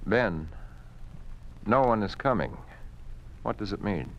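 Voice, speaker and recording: An American man playing a troubled, dignified professor and husband in a 1950s radio drama, grave, halting and gently formal. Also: lowish voice, lively intonation. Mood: grave questioning. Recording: muffled vintage 1950s radio recording.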